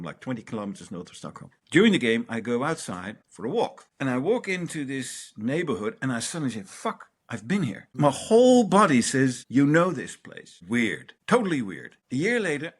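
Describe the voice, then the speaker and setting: Lively storytelling middle-aged man. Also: mid-range voice, breathy edge. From Denmark, raised in the Netherlands. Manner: animated, swears and laughs while telling stories. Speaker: interview guest. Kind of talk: reflective storytelling.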